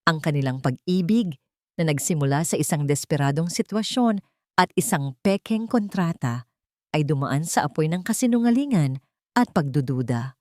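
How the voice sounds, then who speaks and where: A woman with a fairly low voice narrates a love story as a storyteller. Her reading is scripted and dramatic, and the sound is dry with no room echo. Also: animated, normal speed, darkish tone.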